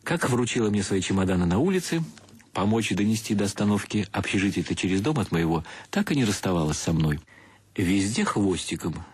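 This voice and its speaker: A man's voice: on the low side, big pitch swings, brisk, a little mellow, slightly rough. A man acting as a wry, self-mocking intellectual bridegroom, narrating a radio play in an ironic, mock-solemn, ornate way. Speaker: actor narrating a radio drama. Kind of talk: first-person literary narration, radio play.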